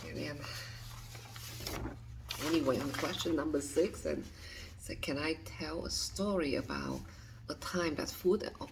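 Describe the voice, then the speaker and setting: A woman in her fifties from Vietnam, speaking, answering a list of questions softly and hesitantly, often dropping to a whisper. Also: low voice, a little hiss or hum, rough recording. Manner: soft, hesitant, with frequent restarts and whispered phrases. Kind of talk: reading and answering interview questions.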